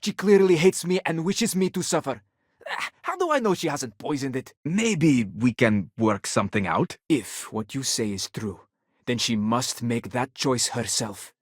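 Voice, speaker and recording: A man's voice: mid-range voice, expressive, a little slow, breathy edge, dry recording, studio quality. A man playing a bitter, proud, middle-aged widowed father in a video game, speaking in a stern, indignant way with clipped, formal phrasing. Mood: defensive, bitter.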